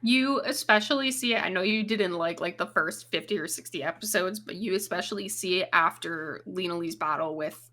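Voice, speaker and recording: A woman's voice: fairly low pitch, fairly quick, sharp tone, slight background noise. A woman who is a guest on a casual podcast, recorded remotely over the internet. She explains things at length and swears casually.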